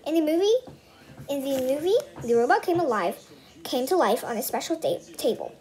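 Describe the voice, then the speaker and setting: A young girl reads a children's story out loud in a high voice. Her pitch swings around in an animated way. She often stumbles, repeats a phrase, and slips into casual asides. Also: fairly quick. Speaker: read-aloud host. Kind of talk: reading a children's book aloud with casual asides.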